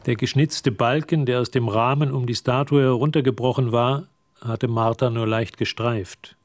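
A man as the narrator of a radio drama, reading scripted literary prose in a measured way. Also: medium pitch, steady tone, dry recording, studio quality, close to an everyday voice. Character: measured male literary storyteller.

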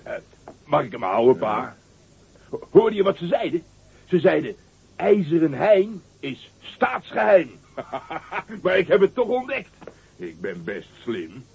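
A man's voice: a little high, sing-song, slow pace, vocal fry, a little mellow, breathy edge, full character voice. A giggling boy giant. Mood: gleeful boasting. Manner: giggling, proud and whiny, stammering. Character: boastful, childish young giant boy, giggly. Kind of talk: radio drama acting.

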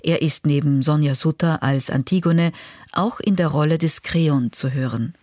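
A woman's voice: deep voice, normal speed, a little mellow. A woman radio presenter reading scripted narration in a factual, composed manner in a studio.

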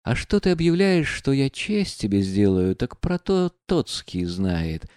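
A man's voice: medium pitch, sing-song, unhurried, darkish tone, clean tone, no echo, clean studio sound. A man reading aloud and voicing a proud, reckless young woman who speaks in a bitter, mocking, defiant way.

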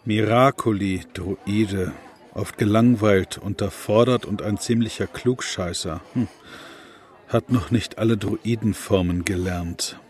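A man's voice: on the low side, fairly even intonation, slow pace, a little mellow. An actor playing a laid-back young man gamer in an amateur comedy audio drama. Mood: musing.

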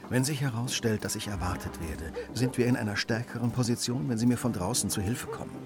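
An actor playing a cool, commanding Victorian gentleman detective in a radio crime drama, speaking in a crisp, self-assured way. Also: mid-range voice, a little fast, close to an everyday voice. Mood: calm, calculating.

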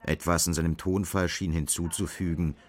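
A male narrator with a low voice reads literary prose for a radio drama. His delivery is measured and matter-of-fact. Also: fairly even intonation.

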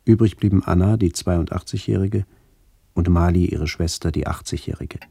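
An actor playing a melancholy man recalling his family and lost village in a measured, reflective radio play narration. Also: deep voice, creaky, dark tone, husky, dry recording, clean studio sound, full character voice. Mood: elegiac, reflective.

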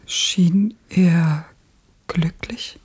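An actress in a radio play, playing a grieving, intense woman revolutionary who speaks in halting short phrases. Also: fairly low pitch, darkish tone, heavily acted. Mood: feverish, yearning. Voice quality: husky, breathy.

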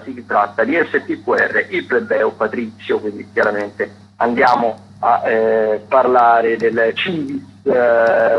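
A man's voice: medium pitch, a little hiss or hum. Hesitant man on a dull radio link. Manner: hesitant, digressive, frequent self-corrections. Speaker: theatre critic, radio contributor. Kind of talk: unscripted events round-up.

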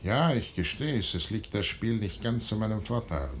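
An actor playing a proud, brooding middle-aged general in a 1950s radio play, declaiming in a weighty, solemn stage manner. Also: male voice, lively intonation, natural voice. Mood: guarded, probing. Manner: declamatory, weighty, solemn delivery with measured pauses. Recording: noisy 1950s radio recording with rumble.

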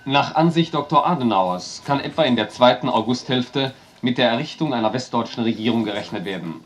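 An actor playing a news announcer who reads a political report in a neutral, reportorial tone in a radio play. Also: male voice, medium pitch, lively intonation, a little fast, natural voice. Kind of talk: historical radio drama acting.

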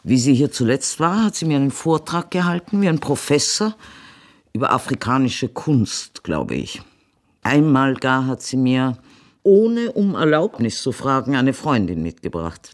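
An actress playing an elderly, dignified and fond mother in a German radio play, with halting pauses and old-fashioned diction. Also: a bass voice, very animated, exaggerated voice. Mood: wry, disapproving.

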